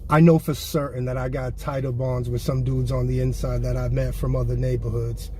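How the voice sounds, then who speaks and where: Guy hosting an interview in a car, warm mellow tone, faint hiss behind. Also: medium pitch, flat delivery, fairly quick. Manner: affirming, conversational. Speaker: interview host. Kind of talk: candid interview. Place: inside a car.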